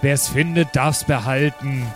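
A man's voice: medium pitch, steady tone, unhurried. A man taking part in a tabletop role-playing game with casual, improvised remarks in and out of character. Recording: music behind the voice. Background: music.

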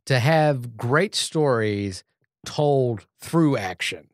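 A man with a New Zealand accent talks movies in casual podcast banter. His voice sits at a middle pitch, recorded dry and close. He stumbles and restarts as he reasons his way through a point. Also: lively intonation, drawn-out words, no room sound. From Fiji, then New Zealand. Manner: talkative, restarts and stumbles while building an argument. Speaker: podcast co-host, filmmaker. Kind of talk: casual chat. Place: small room.

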